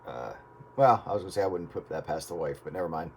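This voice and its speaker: Folksy guy, lowish voice, just chatting on radio, bit of background noise. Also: fast talker. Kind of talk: casual chat over radio.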